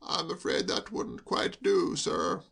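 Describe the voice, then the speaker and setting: A man's voice: high voice, huge pitch swings, takes it slowly, creaky, fairly bright tone, husky, voice pushed up, full character voice. A man voicing a long-winded, deferential chauffeur who rambles on politely and addresses others as sir. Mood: reproving. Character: chatty, proper working-class male chauffeur.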